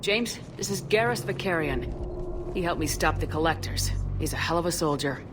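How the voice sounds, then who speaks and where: A woman's voice: low voice, animated, normal speed, noisy background. A woman playing a resolute space military commander in a video game, direct and authoritative. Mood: warm relief. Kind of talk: video game cutscene acting. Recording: loud music behind the voice.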